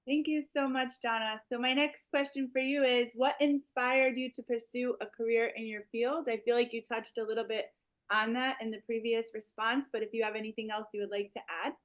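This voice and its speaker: A woman's voice: a little high, fairly even intonation, brisk. A woman hosting a career speaker series, politely reading out her interview questions.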